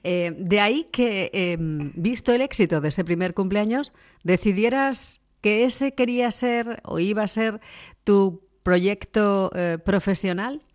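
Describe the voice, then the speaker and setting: A woman radio interviewer asking measured, friendly questions with some fillers. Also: mid-range voice.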